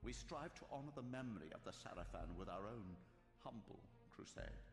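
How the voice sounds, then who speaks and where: Game villain: male actor as ancient scheming time sorcerer, lowish creaky voice, grandiose and oily, cavernous reverb. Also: expressive, lightly acted. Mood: feigned warmth. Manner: grandiose, unctuous, deliberate, ornate phrasing. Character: sly, aged, silver-tongued sorcerer guarding time. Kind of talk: theatrical video game voice acting. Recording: echoey hall reverb, music behind.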